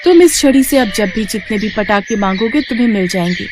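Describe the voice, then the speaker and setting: Woman voicing a gracious, lively storybook fairy. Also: medium pitch, fairly quick, background noise, voice pushed up, natural voice. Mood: kindly granting. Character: grateful gentle female fairy freed from a bottle. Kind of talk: animated moral story voice-over.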